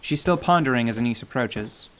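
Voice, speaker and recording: A man giving scripted, measured storytelling narration for a fantasy radio drama. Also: medium pitch, animated, slight background noise, low-quality recording. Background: light music.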